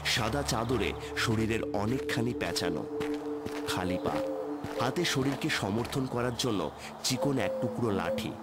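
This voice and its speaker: A man's voice: mid-range voice, expressive, a little slow. A man narrating a scripted first-person horror story as the storyteller. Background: loud music.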